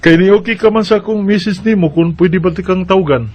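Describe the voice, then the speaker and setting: Radio drama lead: male actor as heartbroken young migrant worker, higher-pitched husky voice, earnest and halting. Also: darkish tone. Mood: anxious, puzzled. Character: heartbroken overseas worker, a young man searching for his girlfriend. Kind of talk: radio drama acting and narration.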